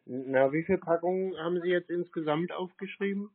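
A man speaking as a customer on a phone call, in a brief, deadpan manner. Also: high-pitched, fairly quick, slightly rough. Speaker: prank caller posing as customer. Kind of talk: terse phone conversation. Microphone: telephone. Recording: tinny, narrowband phone line.

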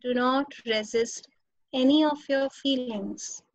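A woman with an Indian accent leads a guided meditation as a teacher, giving slow instructions with long pauses between them. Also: sits high, dry recording. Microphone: video call. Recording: dull, muffled webinar audio.

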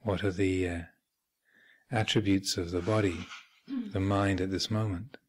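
A man speaking as a meditation teacher, giving measured guided instruction with thoughtful pauses and gentle phrasing. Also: deep voice, expressive, slightly rough. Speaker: Buddhist monk and meditation teacher leading a retreat. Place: meditation hall at a retreat center.

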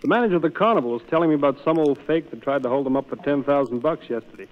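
A man's voice: a little high, rapid-fire, natural voice. An actor playing a bashful, earnest, smitten young small-town man in an old radio play. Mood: friendly, eager. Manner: boyish, artless, earnest. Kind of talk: 1930s radio romance acting. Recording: tinny old radio recording.

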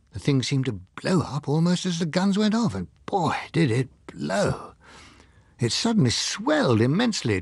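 A man's voice: medium pitch, creaky, slightly rough, no echo, clean studio sound, heavily acted. A man voicing a candid military pilot in an audiobook, guarded, colloquial and matter-of-fact. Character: candid military pilot recounting a strange encounter. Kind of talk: audiobook character dialogue.

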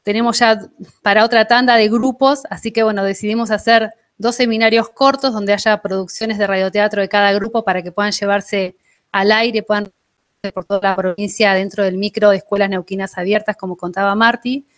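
A woman's voice: medium pitch, fairly even intonation, fairly quick. A woman in her thirties with an Argentine Spanish accent, presenting in an online talk as an organiser. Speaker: workshop organiser. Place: indoor kitchen at home. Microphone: earphone mic held near mouth, video call.

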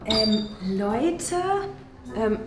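A woman's voice: on the high side, animated, drawn-out words. A woman playing Leila, a naive, anxious schoolgirl who hesitates and frets with lots of fillers. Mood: worried. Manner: hesitant, full of fillers and worry. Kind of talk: comedy web series acting. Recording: music behind.

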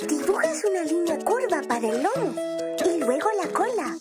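A cheerful little boy rabbit from a children's cartoon, patiently guiding the listener through a drawing step by step. The voice is high and bouncy with a lively lilt. Music plays clearly behind it. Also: normal speed. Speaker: narrator teaching drawing. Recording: studio voice over loud music.

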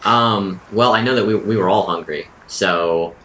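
A man's voice: on the low side, measured pace, sharp tone, low-quality recording. A man playing a tabletop roleplaying game, reasoning aloud in casual, dry in-game chat. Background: faint music.

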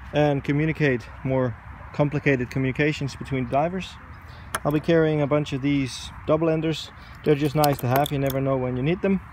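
Guy in his 40s doing a selfie vlog outside, lots of ums, hunting for words, bit of background noise. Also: fairly high pitch. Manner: hesitant, many fillers, searches for words. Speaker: dive instructor vlogger. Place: outdoors, covered shelter and lawn. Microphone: phone camera at arm's length.

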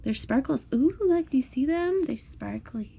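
A woman's voice: sits high, expressive, moderate pace, creaky, dark tone. A woman reading a picture book aloud to a small child at home, in an encouraging, sing-song way with simple repeated phrases. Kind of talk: child-directed storybook read-aloud. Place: indoors at home, on a blanket.

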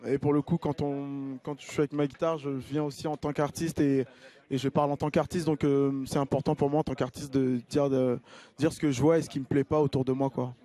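A man's voice: medium pitch, little pitch movement, fairly quick, warm tone. A man in his thirties, a musician whose first language is Lingala, chatting hesitantly in an interview into a handheld mic in an outdoor square. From Congo-Kinshasa. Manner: hesitant, many fillers and restarts. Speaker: musician guest. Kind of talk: casual interview chat. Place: outdoor square stage with colored drapes. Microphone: handheld mic held by host. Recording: guitar playing behind. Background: acoustic guitar.

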